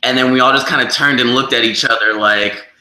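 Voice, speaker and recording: A man being interviewed as a band member, telling stories casually and excitedly, often repeating words and acting out quotes. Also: medium pitch, slight background noise. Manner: halting delivery with repeated words and acted-out quotes. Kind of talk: animated casual storytelling. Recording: echoey room.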